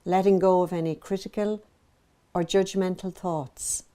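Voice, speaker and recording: A woman with a soft, very mellow voice, set a little low for a woman, leads a guided meditation. She speaks as a teacher, gently and evenly measured. Her phrases are separated by soothing pauses. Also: fairly even intonation.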